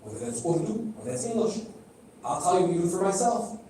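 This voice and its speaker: A middle-aged man whose first language is Arabic preaches a sermon from some distance off the mic, his voice ringing through a very echoey hall. He sounds high for a man and mellow, and he keeps putting questions to the crowd and repeating phrases to drive them home. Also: big pitch swings, a little fast, slight background noise. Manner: direct, questioning the audience, repeating phrases for emphasis. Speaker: speaker at a religious gathering. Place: large hall.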